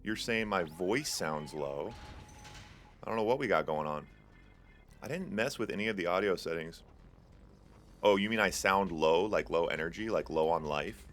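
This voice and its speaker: Deep-voiced man casting a strategy game. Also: expressive, normal speed, pauses often, a bit bright. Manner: casual and chatty, many fillers, jokes with chat. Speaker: tournament host and caster. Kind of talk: live esports play-by-play commentary.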